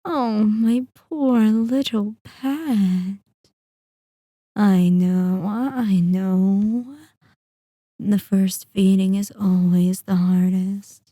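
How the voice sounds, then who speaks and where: A woman playing a sadistic, possessive vampire mistress in an ASMR roleplay, speaking softly and breathily with lingering, condescending endearments. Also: medium pitch, steady tone, very slow, dry recording, studio quality. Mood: possessive, soothing.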